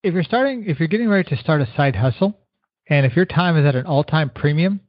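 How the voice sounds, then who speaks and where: A middle-aged man, a keynote speaker guest giving earnest motivational advice, repeating words and slowing down to stress his points. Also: on the high side, animated, dry recording. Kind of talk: motivational interview answers. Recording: dull lo-fi radio sound.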